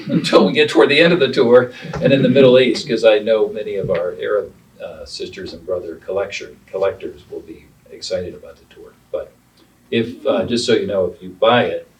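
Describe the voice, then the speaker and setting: A man interviewing artists on a panel at an art center, chatty and explaining with asides. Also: fairly low pitch. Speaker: interviewer, founder of the arts organization. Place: art center gallery room. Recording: distant mic with faint room noise.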